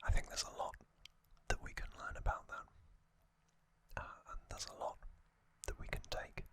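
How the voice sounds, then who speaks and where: Slow, bright whispering man. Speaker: host of a whispered trivia and review show. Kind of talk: ASMR whispered commentary.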